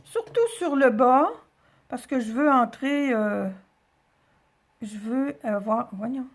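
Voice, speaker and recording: Casting: female craft-tutorial host, Quebec French accent, slightly high pitch, notably husky tone, informal and chatty, thinks aloud while demonstrating step by step. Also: expressive, takes it slowly.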